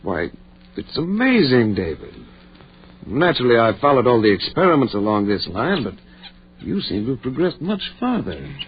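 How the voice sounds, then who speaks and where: An actor playing a cautious, morally troubled male surgeon in old radio drama, hesitant and measured. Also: mid-range voice, sing-song, slight background noise, natural voice. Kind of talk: 1940s radio drama acting. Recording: dull, rough old radio recording.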